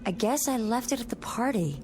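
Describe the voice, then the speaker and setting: An actress playing an anxious, soft-hearted wife in a mid-century television drama, earnest and fretful. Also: female voice, a little high, animated, a little fast, over music, natural voice. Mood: uneasy, fretful.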